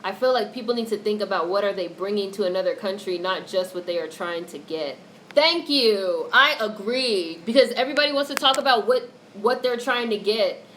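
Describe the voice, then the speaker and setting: A woman's voice: mid-range voice, lively intonation, moderate pace, a bit bright. A woman hosts a casual livestream, talking back to the chat in a mid-range voice. She is blunt and opinionated and swears freely. Some background noise sits behind her voice. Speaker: livestream host reading and answering chat comments.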